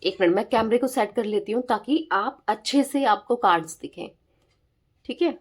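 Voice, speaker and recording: Hoarse woman giving a chatty tarot reading. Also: a little high, expressive, moderate pace. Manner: chatty, keeps checking in with listeners. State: hoarse, sore throat. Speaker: tarot reader. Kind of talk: casual tarot reading to viewers.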